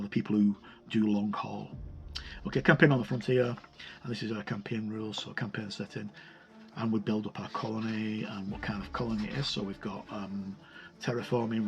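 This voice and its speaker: Male reviewer, fry-edged voice, informal unscripted page-by-page commentary, halting delivery, music bed underneath. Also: medium pitch. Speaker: book reviewer. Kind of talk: casual unscripted review commentary while leafing through a book.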